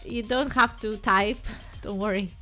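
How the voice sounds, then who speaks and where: A young woman with a Greek accent, hosting a relaxed live stream and chatting playfully with viewers while she works on a website. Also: medium pitch, unhurried, faint music behind. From Greece. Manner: chatty and playful, talks to chat viewers by name, thinks aloud while working. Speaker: livestream host.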